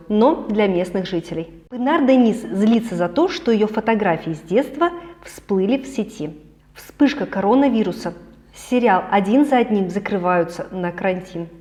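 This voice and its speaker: A woman hosting a weekly celebrity and travel news roundup, hoarse from a cold, lively and chatty with personal asides. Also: medium pitch, roomy sound. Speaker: news show host.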